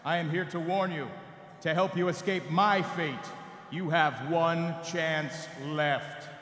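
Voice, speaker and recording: A man's voice: a little high, fairly even intonation, slow pace, fairly bright tone. An actor playing the mournful ghost of a dead businessman giving solemn, declamatory warnings in a radio play. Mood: mournful, urgent. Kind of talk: staged radio play reading. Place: on stage in a large theatre. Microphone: stand microphone. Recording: echoey theatre PA, long reverb.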